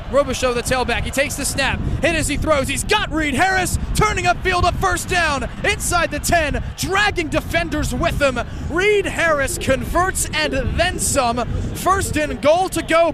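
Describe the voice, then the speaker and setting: Young guy doing live football radio play-by-play, really high voice, clipped excitable calls, clear stadium noise behind him. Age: young adult. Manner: clipped, rapid phrases rising into excited shouts. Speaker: student play-by-play broadcaster. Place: football stadium broadcast position.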